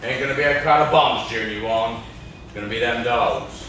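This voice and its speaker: A man's voice: medium pitch, fairly quick, bright, forceful tone, natural voice. A young man acting in an amateur stage play as a menacing, mournful old cabin man with a folksy rustic drawl. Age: young adult. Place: classroom, indoors. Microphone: far camera. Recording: echoey classroom, distant camera mic, noisy.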